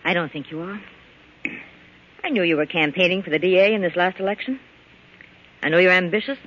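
An actress playing a tough, possessive nightclub boss wounded in love, with a sardonic, melodramatic delivery in old-time radio style. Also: female voice, on the low side, fairly quick, a bit bright, natural voice. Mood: bitter, probing. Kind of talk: 1940s radio drama acting. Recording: dull, slightly noisy old radio recording.

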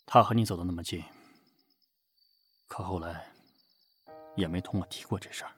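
A man's voice: low voice, normal speed, fairly bright tone, faint music behind. A man in an audio drama playing a cold, jealous and possessive company boss with a curt, sardonic way of talking. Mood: probing, subdued. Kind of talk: amateur Chinese audio drama acting.

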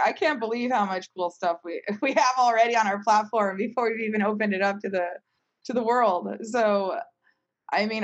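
A woman's voice: a little high, highs cut off. Emphatic, talkative woman in casual conversation. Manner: talkative, emphatic, repeatedly tags points with "right?". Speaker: company founder.

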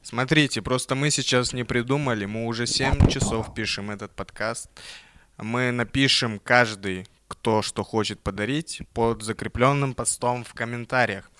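A man's voice: mid-range voice, fairly even intonation, moderate pace, a bit bright. A man who is a guest on a comedy podcast, talking casually in a blunt, offhand way. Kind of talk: casual chat.

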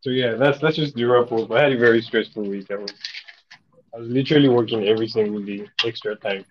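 A man's voice: medium pitch, fast. Young man guest with a head cold, from Nigeria, loose jokey delivery, muffled lo-fi sound. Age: young adult. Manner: joking, loose, self-mocking. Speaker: podcast guest. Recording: dull lo-fi audio.

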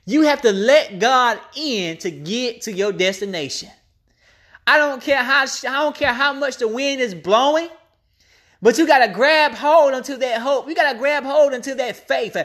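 A woman's voice: high-pitched, moderate pace, fairly bright tone. A woman preacher delivering an impassioned, off-the-cuff sermon, repeating phrases emphatically to build up her point.

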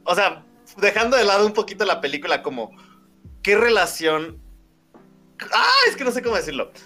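A male interviewer who fumbles and restarts while he asks his questions. His voice is bright and sits fairly high. Faint music plays behind him. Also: lively intonation, normal speed.

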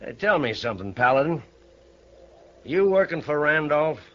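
An actor playing a shrewd, grudge-holding old ranch owner in a radio Western, with a folksy, needling American Western drawl. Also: male voice, a little high, very animated, normal speed. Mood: shrewd, blunt. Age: older. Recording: muffled vintage radio broadcast.

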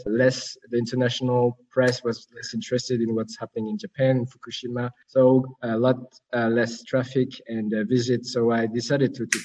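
A man's voice: mid-range voice, darkish tone. A man in his thirties from Switzerland, a podcast guest, telling his life story in a rambling, self-correcting way over a remote call. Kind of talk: conversational interview over a call. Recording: distant, dull remote line.

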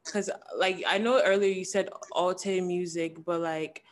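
A woman's voice: lowish voice, steady tone, measured pace. A young woman from Ghana who just finished university, interviewing in a chatty, teasing way full of "like". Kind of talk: casual interview chat.

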